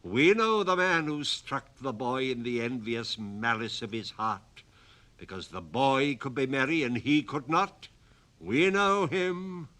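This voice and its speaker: A man voicing a stern, mocking goblin in a storybook reading, loud, slow and menacing. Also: medium pitch, lively intonation.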